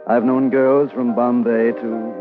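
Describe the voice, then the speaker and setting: An actor playing a flattering high-society playboy in a vintage radio drama, earnest and wooing. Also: male voice, slow pace, phone-quality sound, lightly acted. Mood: smitten, earnest. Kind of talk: 1950s radio acting. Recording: thin, band-limited vintage radio, music behind.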